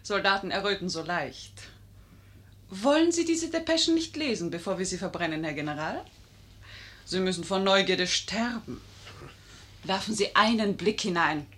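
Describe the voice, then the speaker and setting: A woman's voice: mid-range voice, lively intonation, normal speed, pauses often, a bit bright, close to an everyday voice. An actress in a 1950s radio play as a witty, teasing, self-assured young lady posing as an officer. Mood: playful.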